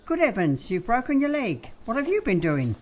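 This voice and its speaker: A radio play with faint noise behind it. A woman plays a brash young English working-class shop assistant. She fires off blunt, saucy retorts in a very animated voice. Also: on the high side, normal speed. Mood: teasing, indignant. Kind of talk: broad radio sitcom acting.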